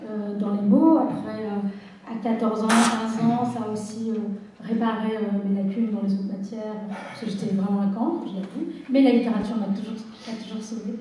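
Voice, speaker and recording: A middle-aged woman from Algeria, a novelist answering interview questions in a reflective, hesitant way with many pauses. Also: mid-range voice, steady tone, warm tone, a little hiss or hum. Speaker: novelist guest. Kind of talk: literary interview on stage. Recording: echoey hall from far off.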